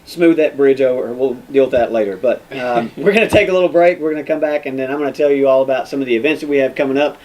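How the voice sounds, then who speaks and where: A man with an American accent hosting a casual interview as a police sergeant, in an informal, halting way. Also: medium pitch, a little mellow, poor audio. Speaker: podcast host, police sergeant. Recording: noticeable background noise.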